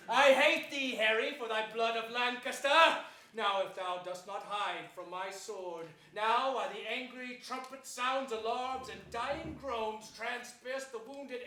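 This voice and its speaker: Echoey distant theatre recording, scornful warrior king, grand Shakespearean declamation, very high pitch. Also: pushed and bright, exaggerated voice. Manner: grand, booming, declamatory delivery. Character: villainous warrior king, scornful, fierce and defiant. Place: large theatre stage, indoors. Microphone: far camera. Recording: echoey theatre stage, distant mic, faint noise.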